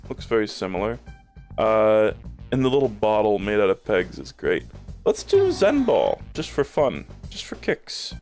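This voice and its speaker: A man's voice: lowish voice, huge pitch swings, measured pace, a little mellow, soft background music. A man streaming a game, rambling in casual chat with plenty of swearing and fillers, a bit phlegmy in the throat. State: a little phlegmy.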